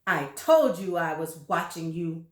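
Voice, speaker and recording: A woman's voice: lowish voice, animated, measured pace, reverberant, voice pushed down. A woman reading a storybook, voicing a nasty, suspicious old wife who scolds and menaces with a cackling manner. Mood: cackling, gloating.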